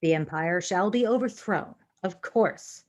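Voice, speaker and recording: A woman playing a female alien science officer in a fan audio drama, unemotional, formal and precise, with deadpan delivery. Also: fairly low pitch, unhurried, natural voice. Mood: stern, composed.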